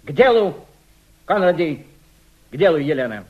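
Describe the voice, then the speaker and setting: An actor playing an imperious, cold Italian fascist conspirator in a Soviet radio drama, giving clipped theatrical orders. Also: male voice, fairly high pitch, big pitch swings, moderate pace. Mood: impatient, commanding. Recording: dull lo-fi radio play recording.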